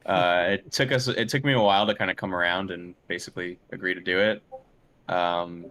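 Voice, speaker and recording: A man's voice: low-pitched, steady tone, a little fast, pushed and bright. A man speaking casually as an interview guest, hesitant and searching for words as he thinks aloud. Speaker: interview guest, film and game composer.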